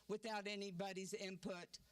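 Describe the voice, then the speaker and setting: A woman's voice: mid-range voice, flat delivery, moderate pace, smooth, no echo. An older woman giving an outspoken, chatty public comment at a community forum, tagging her points with "okay?". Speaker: community member speaking at a forum. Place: church hall at a community meeting. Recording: dry, distant handheld mic.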